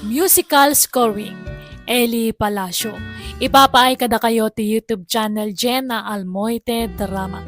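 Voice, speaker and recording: Female radio drama narrator, very high voice, Ilocano accent, scripted sign-off over music. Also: unhurried. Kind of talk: scripted moral and closing announcement. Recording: music bed behind the voice.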